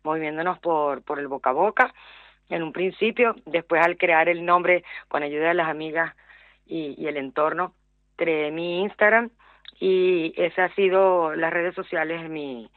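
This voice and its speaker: A woman's voice: low voice, a little slow, dry recording. A middle-aged woman with a Venezuelan accent, a radio interview guest who talks hesitantly with many fillers and repeated words. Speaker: guest, small business owner. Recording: dry, tinny phone line.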